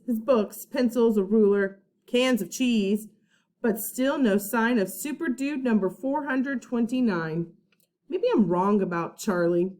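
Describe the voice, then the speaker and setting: A woman teacher reading a children's storybook aloud with dramatic emphasis, pausing to put questions to young listeners. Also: on the high side, dark tone. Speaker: first-grade teacher.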